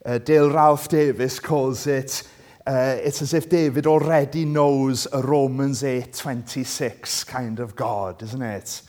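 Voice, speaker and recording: A man's voice: medium pitch, steady tone, unhurried, darkish tone. A Welsh man, a native Welsh speaker, preaching and reading scripture, checking in with listeners through questions. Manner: conversational, asks listeners rhetorical tag questions.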